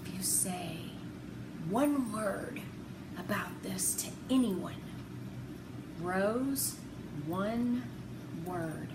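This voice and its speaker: A woman's voice: mid-range voice, expressive, very slow, long pauses. A woman voicing a menacing, angry big father in a children's storybook, with barked commands and clipped threats. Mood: low threatening. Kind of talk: children's novel read-aloud. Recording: roomy, noisy home recording.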